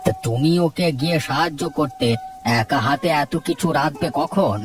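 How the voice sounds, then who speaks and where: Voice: medium pitch, moderate pace, natural voice. An actor voicing a grumbling, sarcastic married man in a family cartoon, with a complaining manner. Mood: chiding. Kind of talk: cartoon voice acting. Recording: distant voice with faint music.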